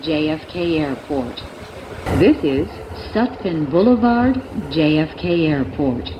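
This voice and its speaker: A woman giving measured, pre-recorded subway announcements over a train's speakers. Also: expressive, highs cut off. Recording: train announcements with loud ride noise. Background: train rumble and ambience.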